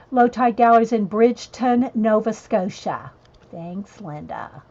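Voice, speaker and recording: A chatty woman with a drawl colors and talks at once, her voice sitting a little high and wandering off into asides to her viewers. The sound is dull and lo-fi, like a cheap livestream mic. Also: a little mellow. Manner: chatty, rambling, reads chat messages aloud and answers viewers. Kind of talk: casual chat while coloring on a livestream.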